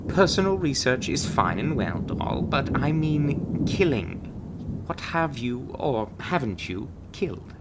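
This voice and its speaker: A man's voice: mid-range voice, very animated, takes it slowly, very noisy. A man playing a suave, condescending, secretive monster-hunting agent in a scripted ASMR roleplay, with a theatrical drawl and pet names for the listener. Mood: probing, confiding. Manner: theatrical, drawling, calls listener darling and doll. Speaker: voice actor in an audio roleplay.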